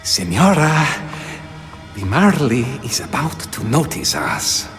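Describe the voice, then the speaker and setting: Game role: mysterious, mannered male trickster, slow and highly animated, formal, faintly mocking, gravelly. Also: faint music behind, exaggerated voice. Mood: urgent. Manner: theatrical, formal, teasing. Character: sly, courteous, mysterious male trickster guide. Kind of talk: video game dramatic acting.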